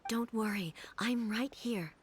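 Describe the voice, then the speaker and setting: A woman playing a calm, stately female ruler in a video game, speaking formally in a measured, breathy way. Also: a little high, a little hiss or hum. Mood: reassuring.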